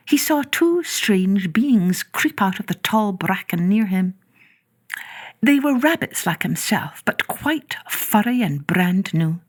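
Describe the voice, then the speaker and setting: Woman gently narrating a children's storybook. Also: on the low side.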